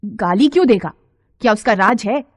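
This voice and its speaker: Woman acting sharp-tongued, exasperated peasant wife, animated voice. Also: medium pitch, normal speed, no room sound, natural voice. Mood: indignant. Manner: scolding, sarcastic, emphatic. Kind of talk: dramatised audio storybook. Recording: faint noise with crickets.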